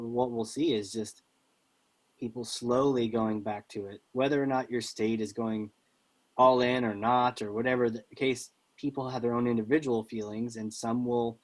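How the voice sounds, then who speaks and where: A man's voice: mid-range voice, steady tone, moderate pace, low-quality recording. A man hosting an interview podcast in a casual, chatty style.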